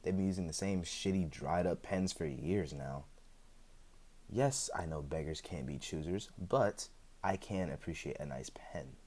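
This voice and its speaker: A man acts out an immortal man with a very deep voice in a roleplay audio drama. He is chatty and a little sheepish, dodging and hesitating as he talks, with a dry sense of humor. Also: a little slow, a little mellow, breathy edge. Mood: casual, pleased. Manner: conversational, hesitant, with frequent chuckles and dry humor. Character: chatty, sheepish, evasive immortal man, freshly back from death. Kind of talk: ASMR roleplay audio drama, one side of a conversation.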